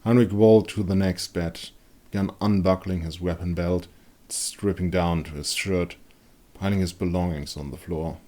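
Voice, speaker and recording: A man narrating a dark fantasy story as a dramatic audiobook reading. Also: deep voice, fairly even intonation, moderate pace.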